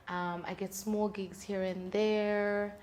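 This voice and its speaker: A woman's voice: medium pitch, little pitch movement, slow pace, a little mellow, a little hiss or hum. A woman, speaking as a musician guest in candid, conversational interview storytelling. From South Africa.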